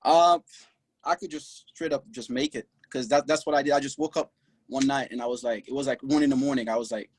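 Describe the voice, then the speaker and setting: A man's voice: medium pitch, steady tone, darkish tone. A young man from Haiti, a guest on a podcast, speaking earnestly and proudly from his clothing shop over a video call. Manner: earnest and proud, speaks in short halting bursts. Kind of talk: casual interview talk.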